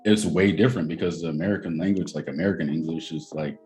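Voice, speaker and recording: Deep-voiced young man, rambling casual chat. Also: fast talker, a little mellow, faint music behind. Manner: loose and rambling, heavy on fillers and slang. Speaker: podcast co-host.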